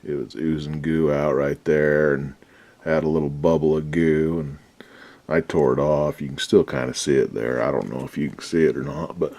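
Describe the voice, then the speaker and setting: A man's voice: very deep voice, fairly even intonation, moderate pace, darkish tone. A man restoring an old radio at his workbench, giving rambling, folksy commentary with a lot of swearing. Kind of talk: casual workshop commentary.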